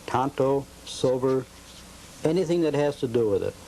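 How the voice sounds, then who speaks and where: A man answering interview questions at home about his collecting, in a relaxed and somewhat halting, rambling way. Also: medium pitch, a little hiss or hum, low-quality recording.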